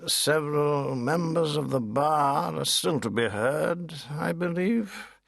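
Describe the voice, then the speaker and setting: A man voicing a nervous, deferential barrister in an audiobook, halting, clipped and apologetic. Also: on the high side, creaky, fairly bright tone, no echo, studio quality, voice pushed up, heavily acted. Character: nervous, deferential, stammering barrister, Mister Tangle.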